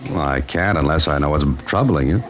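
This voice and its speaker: Dry American amateur detective, 1940s radio serial, low register, lively inflection, music-heavy vintage recording. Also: male voice, a little fast. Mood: probing. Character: wry, self-possessed male amateur sleuth narrating his case. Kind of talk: 1940s radio drama acting and narration.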